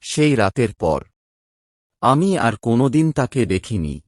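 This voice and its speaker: A man telling a first-person horror story in a hushed, dramatic way. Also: medium pitch, big pitch swings, a little slow, no room sound, studio quality. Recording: spooky music bed under narration.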